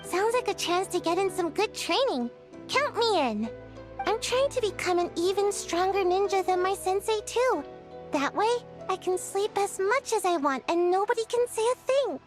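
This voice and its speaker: A woman's voice: very high voice, expressive, moderate pace, soft background music. A woman voicing a small, sleepy, casually confident ninja girl in an anime game. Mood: laid-back determination.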